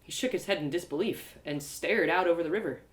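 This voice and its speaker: A woman's voice: lowish voice, brisk. A woman storyteller giving dramatic first-person narration of a tale. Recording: roomy echo of home live reading.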